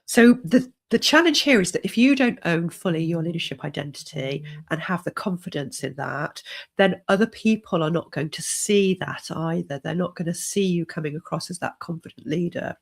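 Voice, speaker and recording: A middle-aged woman leadership coach hosting a live stream while she has a cold, talking in a measured, encouraging way. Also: fairly low pitch, gravelly. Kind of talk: solo live stream talk.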